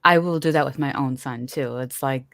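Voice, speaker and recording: A woman with a notably low-pitched voice hosts a relaxed conversation, chatty and quick to laugh. She often restarts mid-sentence. The sound is dry and close, with no room around it. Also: vocal fry, dry recording. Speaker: podcast host. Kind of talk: casual conversational interview.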